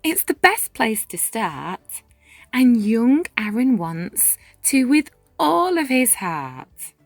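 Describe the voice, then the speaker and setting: A woman reading a rhyming children's picture book aloud, with measured, dramatic phrasing and deliberate pauses. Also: fairly high pitch, very animated, no room sound. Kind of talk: expressive children's read-aloud narration in rhyme. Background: music.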